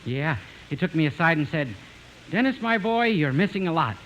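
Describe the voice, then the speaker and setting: A man's voice: on the high side, lively intonation, moderate pace, fairly bright tone, dull audio. An actor playing a naive, earnest young man devoted to his mother in a 1950s radio comedy. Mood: innocent recounting. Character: naive, boyish, earnest young mama's boy singer. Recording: hissy 1950s radio recording.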